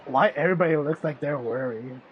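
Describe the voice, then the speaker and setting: A man's voice: fairly high pitch, a little fast, muffled recording, slight background noise. A man streaming a video game, giving casual, rambling commentary as he thinks aloud. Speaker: gaming streamer.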